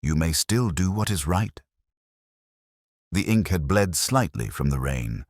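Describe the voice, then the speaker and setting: A man reading a scripted first-person detective story in a measured, brooding, reflective way. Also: a bass voice, no echo, studio quality. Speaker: narrator.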